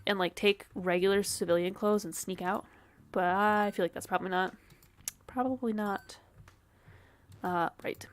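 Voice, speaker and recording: A woman's voice: mid-range voice, steady tone, moderate pace, long pauses. A woman streamer with a sniffly, dry throat, casually thinking aloud and swearing while she plays a game. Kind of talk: casual game livestream commentary.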